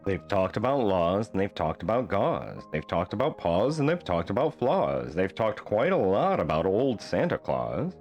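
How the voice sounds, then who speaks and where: A man reading a rhyming children's bedtime book aloud as an expressive storyteller. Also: low voice, moderate pace, hardly any pauses, soft background music.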